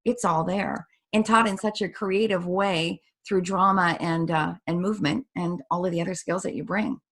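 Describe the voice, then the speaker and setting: Woman interviewer, low-pitched voice, composed, leading questions. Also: fairly even intonation, normal speed, fairly bright tone. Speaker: interview host.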